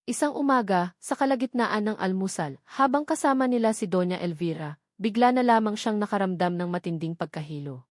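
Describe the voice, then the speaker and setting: A woman's voice: medium pitch, animated, a little fast, no room sound, studio quality. A woman reading a scripted, dramatic audiobook story as its narrator.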